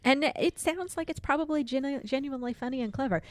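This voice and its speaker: A woman's voice: high voice, fast talker, darkish tone, dry recording. A woman co-hosting a comedy podcast, chatting informally and jokingly about books. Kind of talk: casual, joking book chat.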